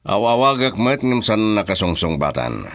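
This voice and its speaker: Shady businessman boss, radio serial. Very deep, dry close sound, gruff and guarded. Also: very animated, normal speed, a bit bright, dry recording. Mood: brooding. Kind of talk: Ilocano radio drama acting.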